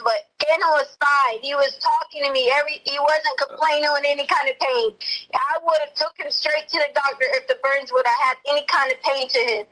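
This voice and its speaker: High, bright-voiced woman on a phone call. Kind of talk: emotional personal account over the phone.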